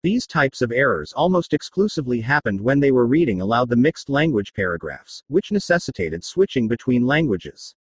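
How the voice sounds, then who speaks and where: Male reporter-narrator, husky tone, lively delivery, explains clearly, dry close sound. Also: medium pitch, moderate pace, hardly any pauses, no echo. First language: English. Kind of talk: scripted documentary narration.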